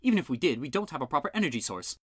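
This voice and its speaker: A man voicing a prim, precise woman scientist who speaks in a measured, formal way. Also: on the high side, big pitch swings, husky, no echo, studio quality, pitched above the performer's own voice.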